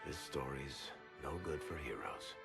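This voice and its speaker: An actor playing a weary, wry storyteller in a video game, with a gravelly voice. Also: male voice, rumbling low, animated, unhurried, exaggerated voice. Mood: somber, reflective. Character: weary, wry male storyteller grieving fallen comrades. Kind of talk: video game dramatic acting. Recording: music behind the voice.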